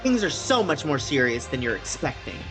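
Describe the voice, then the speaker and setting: A woman voicing a cold, haughty student from a game in a condescending, measured manner. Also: extremely low pitch, big pitch swings, over music, low-quality recording. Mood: scornful. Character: haughty, cold, serious student who scorns others.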